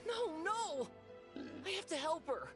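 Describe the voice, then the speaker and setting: A woman's voice: very high-pitched, big pitch swings, takes it slowly, over music, full character voice. A woman voicing a loyal male imaginary friend creature in a video game, earnest and emotional. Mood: desperate. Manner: emotional, swings between earnest and desperate.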